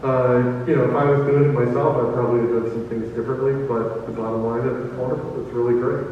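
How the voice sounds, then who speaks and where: A man talking casually on a convention panel in a halting, easygoing way. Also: medium pitch, level tone, a little hiss or hum. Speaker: comics creator and panelist. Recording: dull, very echoey hall, distant mic.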